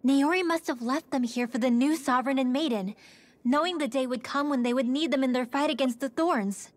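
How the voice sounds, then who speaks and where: A woman's voice: high-pitched, brisk, no echo, natural voice. A woman playing an earnest, supportive teenage girl in a fantasy video game cutscene. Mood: explaining.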